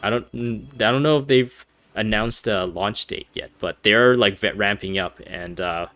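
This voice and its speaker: Young guy rambling over his playthrough, crisp bright voice, dry close mic. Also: lowish voice, unhurried, no room sound. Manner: rambling, hesitant, thinking aloud. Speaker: gaming video host. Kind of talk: casual playthrough commentary.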